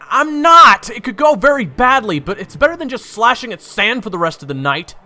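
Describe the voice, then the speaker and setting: A man playing an urgent young soldier who yells in a fantasy audio drama. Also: very high voice, very animated, moderate pace, a little hiss or hum, exaggerated voice. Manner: urgent, yelling.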